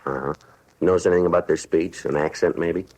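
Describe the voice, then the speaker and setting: An American actor playing a terse, businesslike police detective who narrates and questions in clipped sentences in a 1950s radio crime drama. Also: male voice, low-pitched, fast talker, rough recording. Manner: clipped, deadpan, matter-of-fact. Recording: old radio recording, faint noise.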